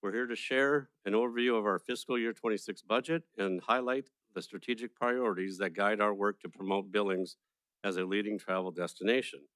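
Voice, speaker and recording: A man's voice: fairly low pitch, fairly bright tone, no echo. A man chairing a tourism board, giving formal prepared remarks to a city council from a podium microphone. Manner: formal and courteous, read from notes. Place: council chamber.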